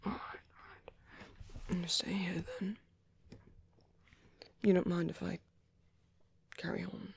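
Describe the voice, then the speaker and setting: A man voicing a gentle, caring vampire priest in an ASMR roleplay, speaking in a soft, breathy whisper with unhurried, hesitant pauses. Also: sits high, level tone, slight background noise. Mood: gentle, concerned. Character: gentle, caring male vampire priest comforting a little one. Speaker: ASMR performer.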